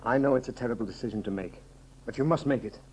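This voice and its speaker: An actor playing a grave, loyal counselor with solemn, formal, declamatory delivery in a 1930s radio serial. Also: male voice, mid-range voice, quick pace, darkish tone, slightly rough, lightly acted. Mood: grave, insistent. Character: grave loyal counselor, stern yet devoted male friend. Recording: muffled old 1930s radio recording.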